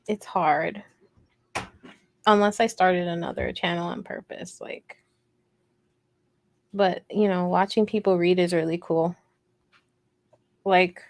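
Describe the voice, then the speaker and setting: Soft-voiced, unhurried woman chatting casually. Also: lowish voice, gravelly. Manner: rambling, playful, self-deprecating teasing. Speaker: host of a crochet livestream. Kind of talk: casual livestream chat, reading and answering viewer comments.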